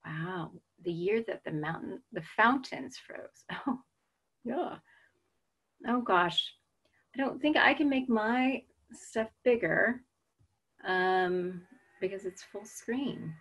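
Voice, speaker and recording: A woman's voice: mid-range voice, lively intonation, takes it slowly, a bit bright, husky. A woman in her forties with an American accent, chatting casually on a video call webinar and reading out audience answers. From United States. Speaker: webinar presenter. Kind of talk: casual chat while waiting to start. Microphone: video call webcam.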